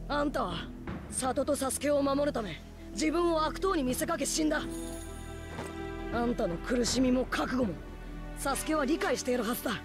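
An actor voicing a brash, earnest young male ninja hero in an anime game, with rough, boyish, informal speech. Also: sits high, lively intonation, moderate pace, long pauses. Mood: serious. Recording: game dialogue over music.

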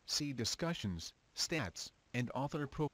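A man's voice: mid-range voice, sing-song, takes it slowly, crowd noise. A synthetic man's voice reading a document aloud as text-to-speech.

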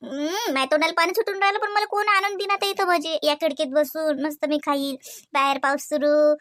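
A woman plays a dreamy, chatty young housewife from a comedy cartoon. Her voice sits very high and girlish, with a Varhadi Marathi accent from Vidarbha. She is giggly and theatrical, in a close, dry sound. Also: a little fast, fairly bright tone, no echo. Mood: relishing.

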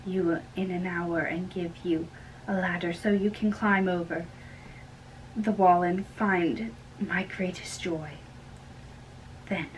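A woman's voice: fairly low pitch, a little hiss or hum, voice pushed down, poor audio. A woman acting an earnest, lovesick young man in an amateur dramatic reading of a classic play. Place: small bedroom.

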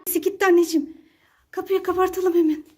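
A woman's voice: extremely high pitch, level tone, quick pace, a little mellow, soft background music. A woman talking as a mother to her child in a playful home skit, exclaiming and repeating short urgings.

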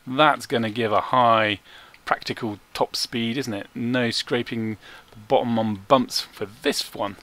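A man giving measured, explanatory video game commentary in a self-deprecating way, recorded as a close-mic voice-over. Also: lowish voice, a bit bright. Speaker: gaming video creator.

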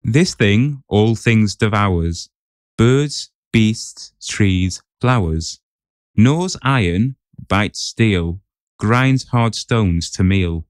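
A man's voice: fairly low pitch, animated, very slow, clean tone, no room sound, clean studio sound. A man on a podcast setting a quiz, chatting casually and reading riddles aloud.

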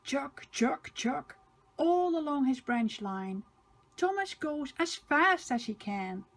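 Slow, animated older woman reading a storybook. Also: high voice. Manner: emphatic, sing-song repetition. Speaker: storybook reader for children. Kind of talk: expressive read-aloud narration for small children.

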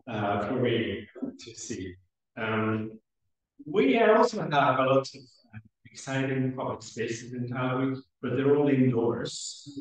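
A middle-aged man from Switzerland giving a conference welcome speech as chair, speaking haltingly with frequent hesitant pauses. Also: mid-range voice. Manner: halting, hesitant, pausing often. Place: indoor lecture room at a lectern. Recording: very echoey room, far from the microphone.

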